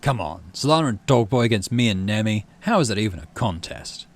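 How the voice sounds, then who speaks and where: A man's voice: lowish voice, animated, fairly quick. A man voicing a sulky teenage boy athlete in a game, complaining indignantly like a sore loser.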